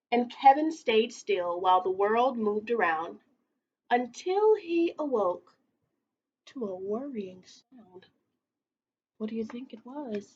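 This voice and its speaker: A woman's voice: mid-range voice, darkish tone, husky, lo-fi sound. A young woman reading a picture book aloud like a teacher, dramatically stretching out words and putting questions to children. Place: small indoor playroom.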